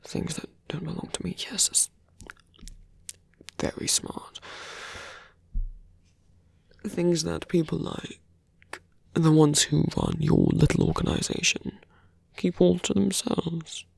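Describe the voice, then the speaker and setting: A performer voicing a suave, menacing male villain in an intimate ASMR roleplay, slow and teasing, with a breathy, gravelly voice that often sinks to a near-whisper. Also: mid-range voice, steady tone, dry recording, exaggerated voice. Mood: persuasive, condescending. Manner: slow, deliberate, teasing, condescending.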